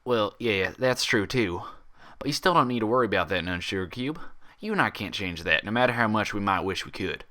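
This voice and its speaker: A man's voice: on the low side, lively intonation, a little fast, sharp tone. A man voicing a kindly female pony doctor with a folksy, soothing, patient manner in a story reading. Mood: reassuring.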